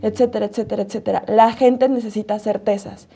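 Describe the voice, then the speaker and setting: A woman with a Mexican accent answering as an interview guest in deliberate, emphatic short phrases. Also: medium pitch, steady tone, gravelly, mellow, husky. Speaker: interview guest, rights organization advocate.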